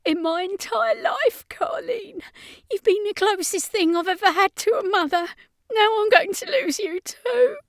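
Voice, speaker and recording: A woman's voice: very high voice, unhurried, no echo, studio quality, voice pushed up. A woman voicing a tearful, desperate young orphan girl in a narrated story. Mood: tearful, heartbroken. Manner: sobbing, desperate pleading.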